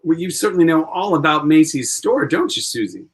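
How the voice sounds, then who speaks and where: A man playing a friendly, reasonable gentleman with an easygoing, explanatory manner in a 1940s-style radio play. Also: a little high, lively intonation, fairly quick, natural voice.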